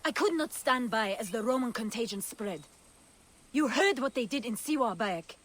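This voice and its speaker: An actress playing a fierce, bitter rebel fighter in a video game cutscene. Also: female voice, a little high, expressive, moderate pace. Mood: bitter, angry. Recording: faint outdoor ambience noise.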